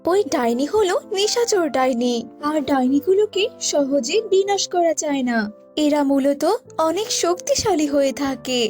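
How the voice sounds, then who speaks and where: Woman as a high-voiced, solemn wise mother. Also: animated, normal speed, darkish tone, faint music behind. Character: wise holy mother, knowing adviser. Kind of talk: cartoon voice acting.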